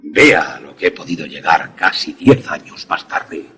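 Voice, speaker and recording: A man playing an erudite, self-assured scientist in a suspense radio drama, explaining things formally and with deliberate pauses. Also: extremely low pitch, lively intonation, sharp tone, slightly rough, heavily acted. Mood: proud, lecturing. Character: erudite, self-assured male scientist who creates ghost images.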